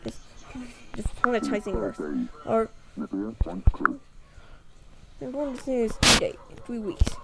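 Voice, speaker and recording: Woman, higher-pitched and very animated, stumbles while reading aloud, heavy noise behind her. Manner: halting, stumbling delivery.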